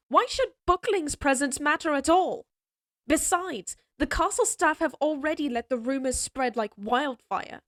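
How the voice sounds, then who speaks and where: A woman plays a headstrong young female knight in a fantasy story. Her voice is on the high side, spirited and formally spoken, recorded very dry. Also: fairly bright tone, no echo. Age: young adult. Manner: spirited, defiant, formal speech. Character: proud, headstrong young lady knight, slightly prickly. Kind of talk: scripted fantasy audio roleplay acting.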